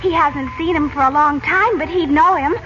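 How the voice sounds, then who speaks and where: A woman's voice: sits high, moderate pace, bright, forceful tone, slight background noise. A woman playing an innocent, trusting young girl, anxious and polite, in a 1940s radio serial. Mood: surprised, hopeful. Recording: old 1940s radio broadcast, muffled.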